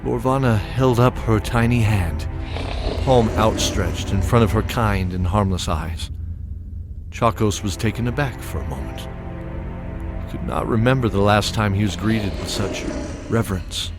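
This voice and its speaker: A man narrates an audio drama in a calm, measured and descriptive way. His voice sits a little low. Clear music plays under him. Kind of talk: scripted fantasy narration.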